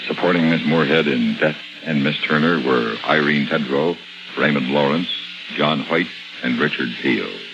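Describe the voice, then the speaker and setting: A man's voice: very deep voice, moderate pace. A man announcing scripted credits in the style of a 1950s radio show. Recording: dull vintage radio recording with music.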